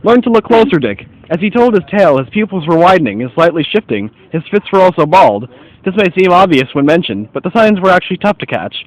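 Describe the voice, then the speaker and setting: A man's voice: high-pitched, very animated, fast, poor audio. A man playing a confident, observant detective in an amateur radio play, with formal, instructive phrasing. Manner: formal, didactic, declarative phrasing. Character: confident, observant male detective in 1940s America.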